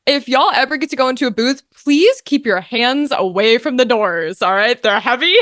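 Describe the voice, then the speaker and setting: A young woman chatting casually as an interview guest, telling her story in an animated, informal way. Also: fairly high pitch, normal speed, no room sound, studio quality. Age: young adult. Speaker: guest, voice actor.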